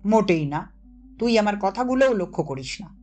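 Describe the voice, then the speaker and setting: A woman's voice: medium pitch, expressive, brisk, darkish tone, close to an everyday voice. A woman voicing a teasing, evasive older village lad in a storybook reading, with an offhand way of contradicting. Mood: dismissive. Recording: music under the voice.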